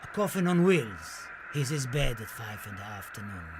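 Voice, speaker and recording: A man's voice: medium pitch, very animated, moderate pace, noisy background, pitched below the performer's own voice. A man playing an impassioned, grieving Spanish poet in a radio play, reciting in a solemn, dramatic manner. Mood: solemn, mournful. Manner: solemn, dramatic, swelling from hushed to declaimed. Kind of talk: theatrical radio play monologue and poetry recital.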